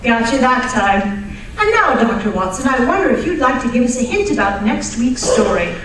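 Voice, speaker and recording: A woman's voice: fairly high pitch, very animated, moderate pace, a bit bright. A woman playing a polite radio program hostess, with polished, formal old-time announcing. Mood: polite, presenting. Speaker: announcer, host. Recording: echoey hall from far off, faint noise.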